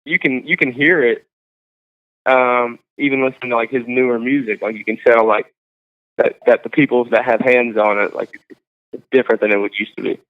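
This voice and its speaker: Halting young man over a tinny line. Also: medium pitch, fairly even intonation. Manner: halting, full of likes and ums. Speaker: singer-songwriter guest. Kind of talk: casual interview chat. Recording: tinny phone-like sound.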